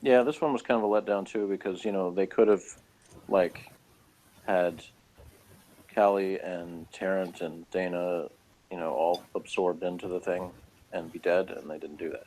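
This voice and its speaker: A man's voice: low voice, fairly even intonation, normal speed, a little mellow, poor audio. Sarcastic man in casual review chat. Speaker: co-host of a TV review show.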